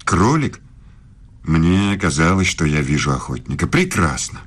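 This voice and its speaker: An actor playing a married middle-aged philosophy professor in a radio play, speaking formally and with quiet, insinuating intimacy. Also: male voice, a bass voice, big pitch swings, measured pace, slightly rough, exaggerated voice. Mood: seductive, teasing.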